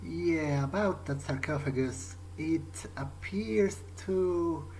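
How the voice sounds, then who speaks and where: A male let's player speaks in character over a game. He muses aloud hesitantly, as if sharing his private thoughts. Game ambience is clearly audible behind him. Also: on the high side, slow, darkish tone, a little hiss or hum, poor audio. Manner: hesitant, musing, thinking aloud. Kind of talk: in-character roleplay gameplay commentary.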